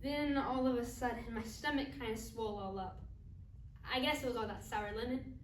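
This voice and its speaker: A woman's voice: fairly high pitch, moderate pace, lightly acted. A woman performing a stage monologue as a naive wife who recounts a shooting in a chatty, oddly matter-of-fact way. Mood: dazed, matter-of-fact. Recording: roomy, distant mic, faint noise.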